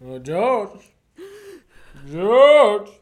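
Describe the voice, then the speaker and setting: A man acting in a student radio play as a courteous, menacing gentleman professor who is secretly a spy chief. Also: high-pitched, very animated, extremely slow, background noise, full character voice. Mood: commanding. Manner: courteous, genteel, theatrically menacing.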